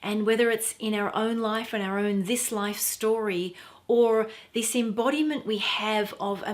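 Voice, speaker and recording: A middle-aged woman with a quiet, soft voice, talking earnestly to camera as a teacher, wearing a clip-on mic. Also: mid-range voice. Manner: earnest, emphatic, repeats for stress. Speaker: feminine empowerment teacher. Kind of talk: direct-to-camera talk.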